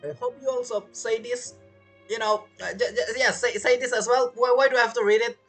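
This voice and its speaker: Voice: mid-range voice, fairly even intonation, a little fast, a bit bright. A game streamer giving casual playthrough commentary in choppy, non-native phrasing. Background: game music.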